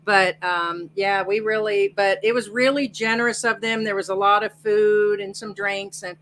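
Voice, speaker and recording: A woman's voice: medium pitch, fairly even intonation, normal speed, a bit bright, a little hiss or hum. A woman talking casually and rambling a little as an interview guest on a video call. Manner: chatty, rambling, trails off.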